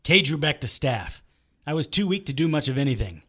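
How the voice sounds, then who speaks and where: A man's voice: on the high side, sing-song, fairly quick, fairly bright tone. A man playing a weary, wry detective who narrates in the first person in an audio drama, terse and dry. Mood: tense, wry. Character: weary, wry male detective narrating his own fight.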